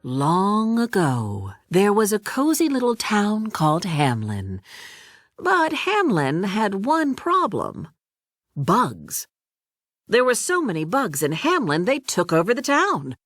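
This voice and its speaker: Very animated, unhurried woman storyteller. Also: medium pitch, no room sound, clean studio sound. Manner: expressive, deliberate storytelling. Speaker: storybook narrator. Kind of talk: scripted children's read-along narration.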